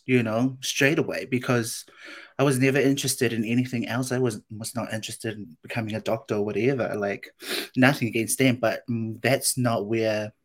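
A woman's voice: rumbling low, fairly even intonation, moderate pace. A woman from Samoa, in her late twenties, chats as a guest on a podcast. She is bubbly and playful, laughs easily, and talks about her own life in an easygoing way. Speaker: actress and guest. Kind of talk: interview chat.

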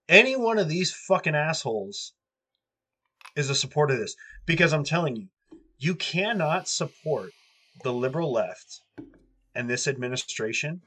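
A man's voice: medium pitch, fairly bright tone. A man hosting a podcast who rants angrily and swears constantly, sniffling from allergies, talking from a cold house. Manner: angry, profane ranting. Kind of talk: ranting podcast talk.

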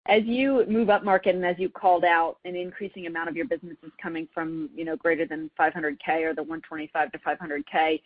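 A woman's voice: low-pitched, steady tone, fast talker, few pauses, dry recording. Female analyst on a tinny phone line. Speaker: financial analyst asking a question. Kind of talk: analyst question on an earnings call. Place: phone call.

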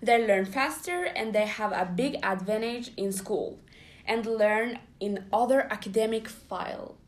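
A young woman from Somalia co-hosting a student podcast, reading explanations with short pauses. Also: mid-range voice, a little hiss or hum. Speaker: podcast co-host. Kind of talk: student podcast, partly read.

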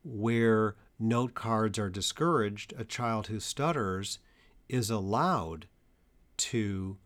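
A man working as a stuttering therapist, giving a measured educational talk to parents and teachers with deliberate, emphatic pauses. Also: lowish voice, fairly bright tone.

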